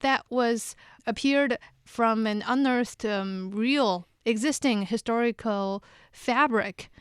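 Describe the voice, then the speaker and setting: Smooth, dry-sounding woman quiz host. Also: on the high side, slow pace, no echo. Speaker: quiz host on a talk show, drama fact checker. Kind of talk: casual explanatory studio talk with quiz questions.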